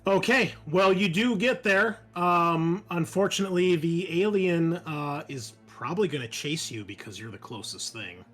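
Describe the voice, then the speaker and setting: Man game master with slightly high voice. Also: moderate pace, few pauses, a bit bright, soft background music. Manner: conversational, explains rules with fillers. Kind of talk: running a tabletop horror roleplaying game.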